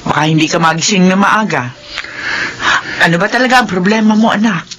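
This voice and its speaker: A woman's voice: lowish voice, fairly quick, a bit bright, a little hiss or hum, lightly acted. A woman playing a worried middle-aged mother pleading fretfully with her grown son in a Filipino radio drama. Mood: worried, coaxing.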